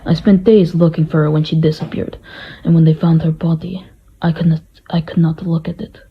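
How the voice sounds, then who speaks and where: Russian butler for a radio drama, played by a woman: low, husky, aggrieved and emphatic, on a muffled recording. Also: flat delivery, fairly quick, full character voice. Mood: defensive, grieving. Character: hot-tempered, grieving Russian male butler. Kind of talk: amateur radio play acting.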